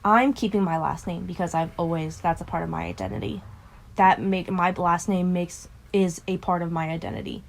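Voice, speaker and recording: A woman's voice: on the low side, fairly even intonation, normal speed, gravelly, a little hiss or hum. A woman being interviewed, talking casually in an assertive, self-assured manner. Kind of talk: casual chat.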